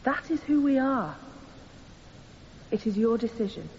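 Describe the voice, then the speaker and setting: An actress playing an earnest, defiant young woman on trial, speaking deliberately in a radio drama courtroom. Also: a little high, animated, a little mellow. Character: earnest young female anarchist defendant defending herself. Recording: muffled, hissy, long hall reverb.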